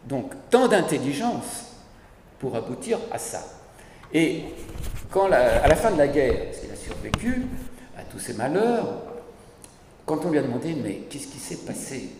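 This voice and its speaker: Older male speaker, hoarse, ironic, with a lively rising and falling voice; desk mic in a big reverberant hall. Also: fairly high pitch, unhurried, pauses often, slight background noise. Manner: ironic, animated, gestures while speaking. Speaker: speaker at a public debate. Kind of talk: lively public talk on philosophy. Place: large hall, seated at a panel table. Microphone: desk mic on a stand. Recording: echoey hall from a distance.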